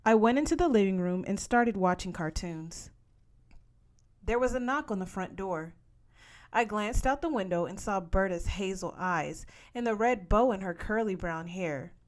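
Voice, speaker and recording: A woman's voice: medium pitch, expressive, moderate pace. Woman reading a children's story expressively. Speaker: story reader.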